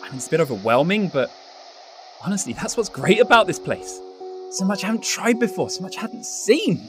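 An actor playing a self-deprecating, sheltered young exchange student with an English accent, joking and apologetic, in a radio drama. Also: male voice, high-pitched, big pitch swings, brisk, over music. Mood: amused, chatty.

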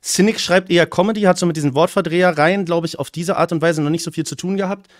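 Opinionated German man, rapid bursts. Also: high-pitched, no echo, studio quality. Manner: opinionated, talks in fast bursts. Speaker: streamer reacting to a rap battle. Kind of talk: casual commentary.

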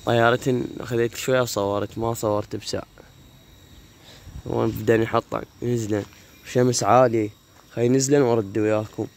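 A man with an Iraqi Arabic accent talks casually to his viewers, his voice hoarse, at a middling pitch. He films his rooftop pigeons on a phone held in his hand. Faint outdoor noise and insects sit behind him. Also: darkish tone. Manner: chatty, talks to the viewers while filming. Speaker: pigeon keeper vlogger. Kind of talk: casual vlog. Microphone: phone camera held while walking.

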